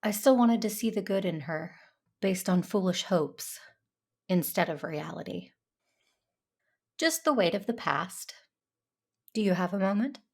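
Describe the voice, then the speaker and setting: A woman's voice: medium pitch, lively intonation, a little slow, creaky, long pauses. A woman playing a troubled, dutiful Jedi who narrates an audio drama in a reflective, earnest way.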